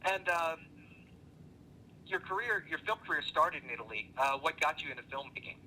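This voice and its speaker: A man hosting a radio talk show, interviewing guests in a relaxed way, with plenty of "uh" and "um" between words. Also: a little high, moderate pace, a little hiss or hum, poor audio. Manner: filler-heavy, friendly, conversational.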